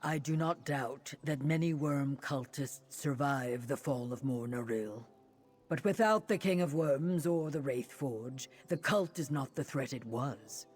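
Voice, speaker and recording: Male fantasy hero: calm, reflective, formal phrasing, medium register, faint score underneath. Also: mid-range voice, slightly rough, soft background music. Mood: calm, relieved. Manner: measured, formal. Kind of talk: fantasy video game dialogue.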